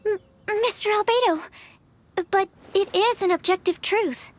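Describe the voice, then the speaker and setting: A timid young female alchemist for an anime game. The voice is extremely high, with a polite, hesitant earnestness. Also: a little slow. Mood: earnest. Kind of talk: anime video game voice acting.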